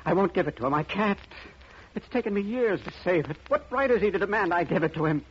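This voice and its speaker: A man's voice: high voice, animated, quick pace. An actor playing a frightened man urgently begging for help in a 1950s radio drama. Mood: terrified, desperate. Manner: urgent, pleading, breathless phrasing. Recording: muffled, noisy old radio recording.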